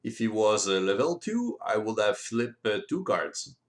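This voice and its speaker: Slow, lilting Frenchman explaining a game. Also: lowish voice, sing-song. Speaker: board game rules presenter. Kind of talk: explanatory walkthrough of game play.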